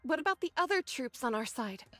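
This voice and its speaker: A woman's voice: sits high, lively intonation, brisk, a bit bright, dry recording. A woman voicing a cautious, serious young android soldier in an anime game, music playing behind. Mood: worried.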